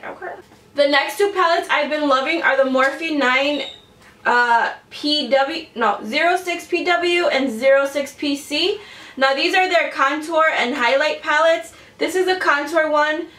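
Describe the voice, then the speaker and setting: A woman's voice: high voice, fairly bright tone. Chatty young woman, congested, echoey room. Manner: chatty, rambling, lots of "like" and "um". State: congested with a cold. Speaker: beauty vlogger. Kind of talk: casual chat to camera. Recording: roomy echo, mic a bit distant.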